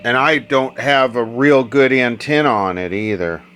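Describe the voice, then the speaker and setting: A man's voice: mid-range voice, a little slow, fairly bright tone, slight background noise. A man giving unscripted hands-on repair commentary, muttering short remarks as he thinks aloud. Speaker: radio repair hobbyist and video host.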